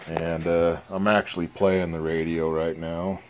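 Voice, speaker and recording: A man giving a casual, halting, low-key progress report on a repair, recorded on a phone at a workbench. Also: little pitch movement. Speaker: hobbyist radio restorer. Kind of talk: casual narrated progress video. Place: indoors at an electronics workbench. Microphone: handheld phone.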